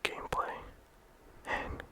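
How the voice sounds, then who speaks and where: A game reviewer talking in a soft ASMR whisper throughout, casual and rambling with many "like" fillers. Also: slow.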